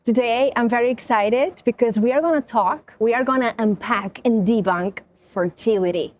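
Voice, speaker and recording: A woman's voice: a little high, darkish tone. Upbeat woman podcast host. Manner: enthusiastic, effusive. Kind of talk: conversational interview.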